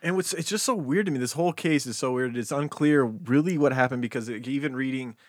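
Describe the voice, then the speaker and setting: A man's voice: medium pitch, fast, dry recording, clean studio sound. A man hosting a casual true-crime podcast, talking conversationally with some swearing. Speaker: podcast host. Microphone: close podcast microphone.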